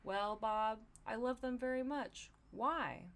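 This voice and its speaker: A woman's voice: a little high, slow pace, fairly bright tone, voice pushed up. A woman voicing an eager, cutesy cartoon vegetable in a fan fiction reading, coy and anime-flavored. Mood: delighted.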